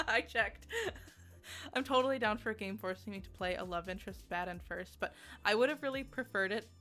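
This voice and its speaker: A woman's voice: medium pitch, moderate pace, bright, forceful tone. A woman giving a casual, conversational video game review in a giggly, self-deprecating manner.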